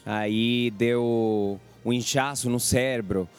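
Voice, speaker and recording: A Venezuelan man with a Spanish accent gives an earnest church testimony, calm and measured, sometimes halting. His voice sits at an ordinary middle pitch, with faint music behind him. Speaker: church member giving testimony.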